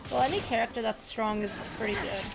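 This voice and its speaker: Casting: female game streamer, highish voice with vocal fry, casual slow delivery, faint noise behind. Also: loud music behind the voice, low-quality recording. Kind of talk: casual live stream commentary.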